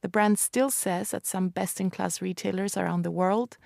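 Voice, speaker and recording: Female host, measured and polished, mid pitch, dry close sound. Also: steady tone, creaky, a little mellow, no echo. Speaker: radio host and interviewer. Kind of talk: scripted introduction, then interview questions. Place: live podcast recording in a showroom apartment.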